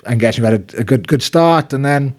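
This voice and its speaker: A man hosting a casual podcast, introducing his guest in a relaxed, slightly halting way. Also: medium pitch. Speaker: podcast host.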